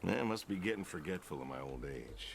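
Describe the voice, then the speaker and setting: An actor playing a weary, stubborn old American pig farmer in a video game, speaking in a subdued, laconic, plain way. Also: male voice, animated, brisk, noisy background. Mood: quietly resigned. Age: older. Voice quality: low, gravelly. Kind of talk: naturalistic video game dialogue.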